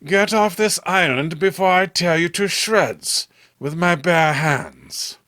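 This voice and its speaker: A man's voice: high voice, takes it slowly, a bit bright. A man playing an obsessed man who stubbornly defends his monstrous beloved in a horror comic, formal and theatrical. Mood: threatening. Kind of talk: horror comic read-along acting.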